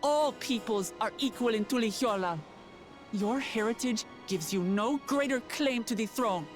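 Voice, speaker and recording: A woman's voice: on the high side, moderate pace, over music, lightly acted. A woman playing an earnest, proud young feline warrior and would-be ruler in a video game, speaking firmly. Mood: defiant.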